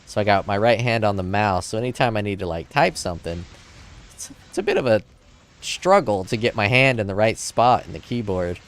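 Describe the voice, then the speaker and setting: Low-voiced dude streaming games from his desk, a bit drunk, rambly chill talk, smooth clean voice. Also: animated, fairly quick. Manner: laid-back, rambling, joking. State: a little drunk. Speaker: game streamer. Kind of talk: casual livestream chat, reading chat aloud. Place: home desk. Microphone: desk mic in front of keyboard.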